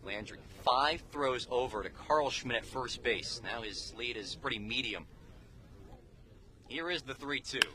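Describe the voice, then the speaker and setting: A man's voice: mid-range voice, a little slow, sharp tone. A man calling live baseball play-by-play for radio in clipped, telegraphic phrases. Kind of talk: live sports commentary. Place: outdoors at a baseball game.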